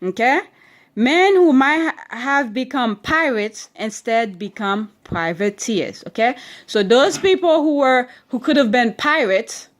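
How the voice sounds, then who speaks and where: A woman's voice: a little high, lively intonation, measured pace. A woman with an accent reading a history book aloud to her children at home, stretching key words and stopping often with "okay?" to check they understand. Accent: non-standard English accent.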